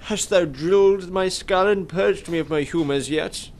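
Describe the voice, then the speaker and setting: A man's voice: high voice, unhurried, slight background noise. A man voicing a boastful sixteenth-century knight who speaks in theatrical, archaic English in a radio play. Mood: bewildered. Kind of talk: amateur radio play acting.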